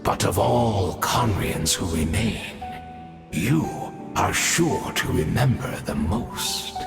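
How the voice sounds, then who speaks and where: A man's voice: low-pitched, animated, slow, creaky, full character voice. An actor voicing a scheming, smug male villain in a video game, speaking with theatrical, polished menace. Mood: triumphant. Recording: echoey reverb with game music.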